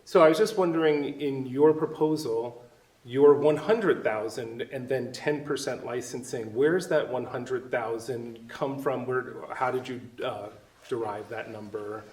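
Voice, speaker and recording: Loud man asking from the room. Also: medium pitch, a little slow. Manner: loud, friendly, precise. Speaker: audience member asking a question. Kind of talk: panel Q&A. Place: studio room with an audience. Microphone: no mic, picked up from the room. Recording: roomy audience voice from far off.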